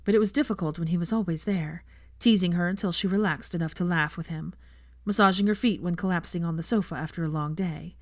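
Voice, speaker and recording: Low-voiced woman reading a story, dry sound. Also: fast, no echo. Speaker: audiobook narrator. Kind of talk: scripted fan fiction narration.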